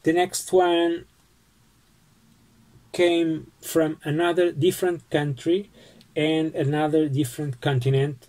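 Slow-talking Portuguese man, mellow voice. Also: mid-range voice. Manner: unhurried, friendly, pauses with fillers while showing items. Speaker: postcard collecting vlogger. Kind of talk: casual show-and-tell vlog. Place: small home room lined with bookshelves, indoors.

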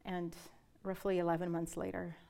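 A woman's voice: lowish voice, level tone, moderate pace, creaky, mellow. A woman in her thirties from Brazil, a guest in a studio interview, telling stories in a chatty, easy way on a lapel mic. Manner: chatty storyteller, laughs often. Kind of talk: casual interview chat. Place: dark television studio.

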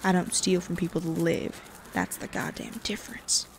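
A gruff, stubborn Wild West sheriff from an audio drama, played by a woman. The speech is terse and irritable, with plenty of vocal fry. A little noise is behind it. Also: on the low side, moderate pace, close to an everyday voice. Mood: irritated.

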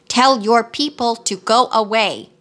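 A woman reading a storybook aloud, voicing a calm, unemotional copper clockwork man who speaks in measured, commanding tones. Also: on the high side. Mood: steady.

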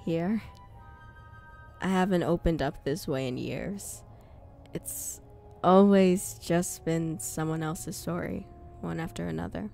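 Woman acting a composed, wry journalist, lowish voice. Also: slow pace, gravelly, warm tone. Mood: vulnerable, puzzled. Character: composed, wry female journalist, guarded but curious. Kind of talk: audio drama acting. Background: music.

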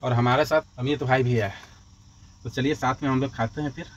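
A man's voice: mid-range voice, steady tone, brisk, muffled recording, slight background noise. A man from India narrating a casual trucking and cooking vlog step by step, addressing viewers as friends. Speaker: truck driver vlogger.

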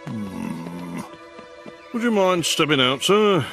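A man voicing a brisk cartoon police sergeant with a British accent, clipped, commanding and formally polite. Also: mid-range voice, huge pitch swings, vocal fry, music in the background, heavily acted. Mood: officious. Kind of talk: cartoon voice acting.

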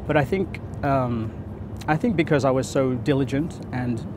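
A man's voice: medium pitch, moderate pace, background noise. A man in his thirties from Fiji, a photographer answering interview questions outdoors on a lapel mic in an earnest, thoughtful way, often repeating words as he thinks. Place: outdoor terrace above a city.

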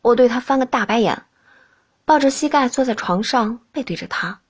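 A woman's voice: a little high, lively intonation, moderate pace, breathy edge. A woman reading scripted first-person fiction as an audiobook narrator.

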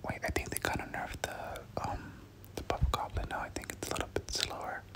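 A person doing whispered ASMR game commentary, speaking casually in gamer slang and often repeating short phrases. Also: brisk. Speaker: gameplay commentator.

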